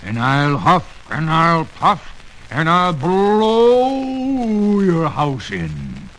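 A man's voice: wildly swooping pitch, a bit bright, heavily acted, poor audio. A man reading a storybook and voicing a sly, menacing wolf who drags out his words. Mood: threatening. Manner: slow, drawn-out and booming.

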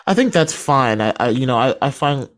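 A man talks casually as a guest on a radio show. His voice is mid-pitched for a man. He is thoughtful and a little halting, repeating words while he builds his point. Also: lively intonation. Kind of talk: casual reflective discussion.

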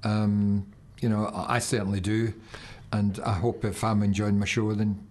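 Low-voiced Glaswegian man, faint background noise. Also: little pitch movement, moderate pace, darkish tone. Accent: Glaswegian Scottish. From Glasgow, Scotland. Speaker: radio show guest and presenter. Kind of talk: casual radio chat.

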